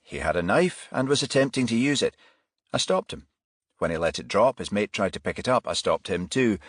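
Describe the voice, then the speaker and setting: A man's voice: on the low side, very animated, a little fast, a bit bright, dry recording. Casting: unflappable male police inspector for crime audiobook, authoritative, dry sound voiced by a male reader. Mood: matter-of-fact.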